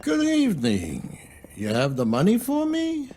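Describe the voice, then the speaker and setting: A man's voice: high voice, wildly swooping pitch, dark tone, a little hiss or hum, exaggerated voice. A man playing a sly, smug loan shark in a video game cutscene, insinuating and falsely courteous. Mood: mock-polite, probing. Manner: unhurried, insinuating, mock-polite.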